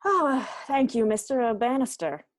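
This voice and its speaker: A woman's voice: a little high, sing-song, normal speed, no echo. A woman playing a probing, sardonic police captain in an amateur radio-style mystery. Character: brisk, wry police captain questioning suspects.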